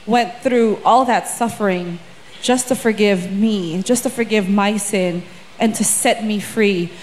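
A woman's voice: medium pitch, slight background noise. A woman in her thirties giving an earnest personal testimony on a church stage, often repeating words as she gathers her thoughts. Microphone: handheld mic.

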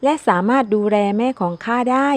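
Kind young village maiden played by a woman, high voice, polite and deferential, storybook folktale. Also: a little fast, no room sound, lightly acted. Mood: earnest, humble.

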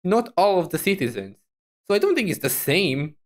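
Vtuber woman reacting, lively up and down voice, thinks out loud and repeats herself. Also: lowish voice, normal speed. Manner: hesitant, repetitive, animated musing. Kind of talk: casual reaction commentary.

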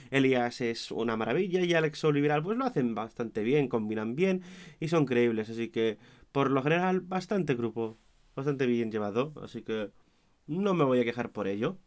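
A hoarse young man giving an opinionated, self-mocking casual review, with his volume swinging between loud and mumbled. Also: mid-range voice, animated, normal speed. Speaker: wrestling show reviewer.

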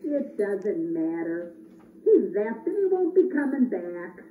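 A woman's voice: medium pitch, big pitch swings, slow. A woman voicing an earnest, worried, kindly Christmas elf in a children's puppet show. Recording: echoey, tinny, distant camera, faint noise.

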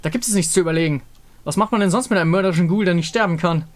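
A man's voice: high voice, rapid-fire, natural voice. A man voicing a stern, impatient female warrior who speaks with exasperated force in a game story.

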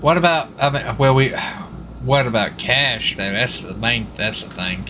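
A man's voice: mid-range voice, expressive, moderate pace, bright, forceful tone, a little hiss or hum. A man in casual roleplay game chat who rambles and repeats himself. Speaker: player in online roleplay game.

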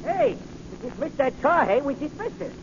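An actor playing an excitable, dim American cab driver in 1940s radio comedy relief, jittery and repeating himself. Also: male voice, very high voice, moderate pace, creaky, heavily acted. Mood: excited, cheerful. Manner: repeats his own phrases, jittery. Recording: muffled, very noisy old radio recording.

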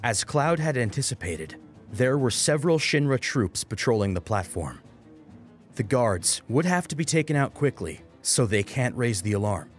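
A man's voice: medium pitch, sing-song, normal speed. A man narrating a scripted story as a dramatic audiobook reader. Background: music.

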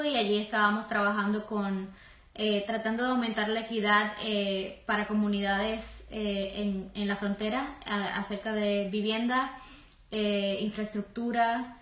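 A young woman from Puerto Rico, an architect, answering interview questions calmly with many pauses and fillers. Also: mid-range voice. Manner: calm, measured, many hesitations. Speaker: architect, guest. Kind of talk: casual interview. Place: small office. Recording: roomy office echo.

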